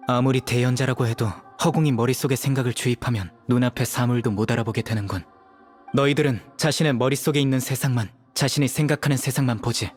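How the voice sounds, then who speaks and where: An actor playing a cool, stern young enforcer in a game, speaking in a measured, mocking way. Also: male voice, medium pitch, natural voice. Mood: cool mockery. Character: cool, scornful young male enforcer of justice. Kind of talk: dramatic game voice acting.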